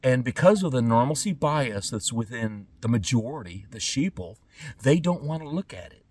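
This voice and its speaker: Man, middle-aged, podcast monologue, emphatic halting delivery, medium pitch, set back from the mic. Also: big pitch swings, darkish tone, dry recording, far from the mic. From born in Trinidad and Tobago, lived in California. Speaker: podcast host. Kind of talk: unscripted monologue to camera.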